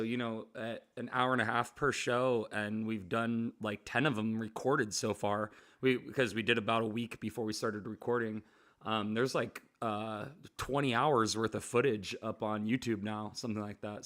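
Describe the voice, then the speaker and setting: A man co-hosting a live online audio chat, relaxed and rambling, enthusiastic, with a lot of ums and likes. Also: lowish voice. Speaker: co-host running the stream. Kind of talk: casual live-stream chat.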